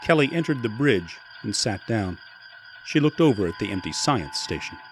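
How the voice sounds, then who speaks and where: A man's voice: on the low side, sing-song, moderate pace, slight background noise. A man reading scripted third-person narration for an audio drama.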